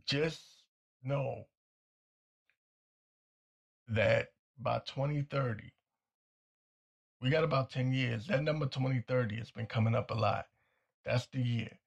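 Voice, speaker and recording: Hoarse, gravelly man ranting. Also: mid-range voice, takes it slowly, pauses often, lo-fi sound. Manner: blunt, insistent, repeats himself. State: hoarse, voice nearly gone. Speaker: podcast host. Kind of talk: conspiracy commentary monologue.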